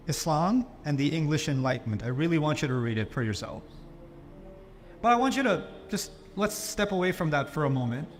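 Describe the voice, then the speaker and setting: A man from Pakistan giving an animated Islamic lecture to a live audience, often putting on mocking voices for the people he quotes. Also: on the high side.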